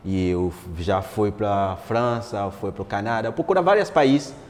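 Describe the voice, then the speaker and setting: Haitian-accented male guest, earnest storyteller, lower voice, hall reverb. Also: unhurried, darkish tone. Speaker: guest speaker, entrepreneur. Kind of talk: personal story at a talk show. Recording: hall reverb, faint ambient noise.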